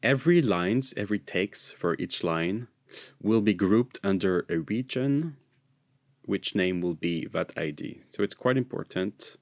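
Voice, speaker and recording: A man's voice: lowish voice, expressive, unhurried, no echo, clean studio sound. A man who speaks English as a non-native with an American leaning, narrating a screencast tutorial in an informal, explanatory way. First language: not English. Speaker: software tutorial presenter.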